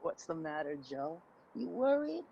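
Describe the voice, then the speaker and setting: A woman's voice: fairly low pitch, sing-song, a little slow, gravelly, warm tone, slightly rough, muffled recording, full character voice. A woman playing a defiant, needling homeless woman in a dramatic play reading, confrontational and sardonic.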